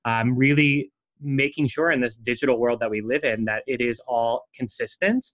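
A man's voice: mid-range voice, moderate pace, low-quality recording. Man animatedly explaining as an interview guest. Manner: animated explainer, frequent "like" asides. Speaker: branding coach guest. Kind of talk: conversational interview.

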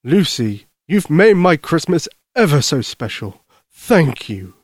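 Man putting on a slow, kindly old Santa Claus. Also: on the high side, very animated, no room sound, clean studio sound, exaggerated voice. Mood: jolly, tender. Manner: slow, gentle, reassuring, chuckling.

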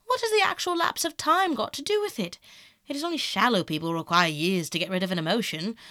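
A woman's voice: mid-range voice, quick pace, sharp tone, no room sound, studio quality, lightly acted. A woman voicing a vain, callous young aristocratic man in an audiobook, with a theatrical, airy, emphatic manner. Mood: haughty. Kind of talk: audiobook character acting.

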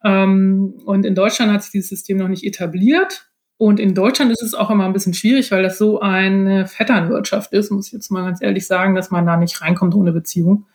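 A woman's voice: mid-range voice, fairly even intonation, a little fast, a little mellow. Frank woman explaining in conversation. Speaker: guest, screenwriting and audio drama author. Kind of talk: conversational interview answers.

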